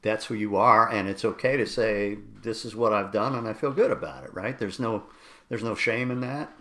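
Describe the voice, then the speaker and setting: Gentle man, halting interviewer. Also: lowish voice. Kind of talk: interview questions.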